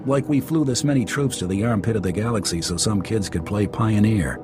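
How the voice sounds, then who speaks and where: An actor playing a gruff, plain-spoken army captain in a video game, talking briskly and candidly. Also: male voice, fairly low pitch, music in the background, close to an everyday voice. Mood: brisk, wry. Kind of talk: scripted video game dialogue.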